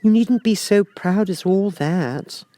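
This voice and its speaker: A man's voice: high voice, takes it slowly, mellow, dry recording, pitched above the performer's own voice. A man voicing a curious young girl in a storybook reading, prim and questioning, polite but easily indignant. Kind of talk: storybook character voice.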